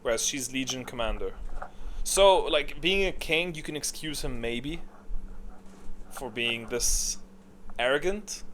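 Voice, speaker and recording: A man's voice: mid-range voice, animated, unhurried, pauses often, a bit bright, slight background noise. A man giving casual, off-the-cuff gaming commentary, thinking aloud in a rambling, blunt way.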